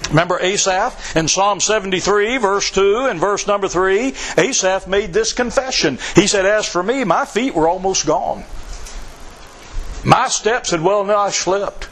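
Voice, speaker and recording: A man's voice: high voice, measured pace, fairly bright tone. A man preaching a sermon, repeating phrases for emphasis and reading scripture aloud in old-fashioned wording. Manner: reads scripture aloud in King James wording.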